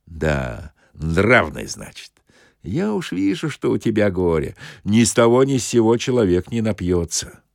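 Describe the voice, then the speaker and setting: A man's voice: fairly low pitch, big pitch swings, measured pace, gravelly, dry recording, clean studio sound, voice pushed down, full character voice. A man playing a folksy, sympathetic workman passenger. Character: sympathetic, easygoing working man, a chatty passenger.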